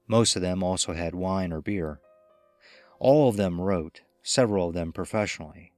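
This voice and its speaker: A man reading a scripted horror story as its narrator. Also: sits low. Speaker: audiobook narrator.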